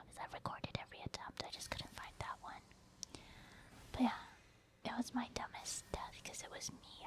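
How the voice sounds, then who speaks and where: A woman giving casual, rambling ASMR commentary on her video game play in a breathy whisper, explaining her thought process. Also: a little high, a little mellow. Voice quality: breathy, mostly unvoiced whisper. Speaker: gaming ASMR creator.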